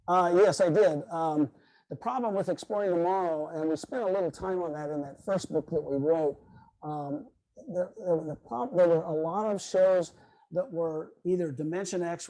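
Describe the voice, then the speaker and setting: Halting older man, mellow higher-pitched voice. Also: low-quality recording. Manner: halting, rambling, loses his train of thought. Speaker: guest speaker, radio history author. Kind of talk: informal question-and-answer talk. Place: online video meeting. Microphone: video call microphone.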